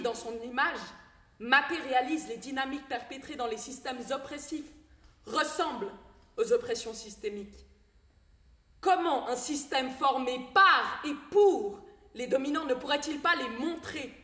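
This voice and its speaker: A woman's voice: high voice, a bit bright, echoey room. A woman performing an emphatic, rhetorical poetic monologue on a theatre stage.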